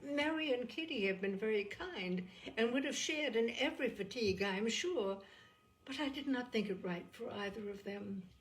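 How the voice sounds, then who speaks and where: A woman voicing a gentle, anxious, forgiving young sister, earnest and measured, in a storybook reading. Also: a little high, lively intonation, natural voice, rough recording. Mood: gentle, grateful.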